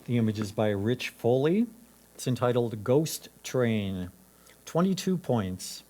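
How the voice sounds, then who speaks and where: Mellow-voiced man announcing scores. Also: medium pitch, expressive, slow. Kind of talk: announcing titles, makers and scores.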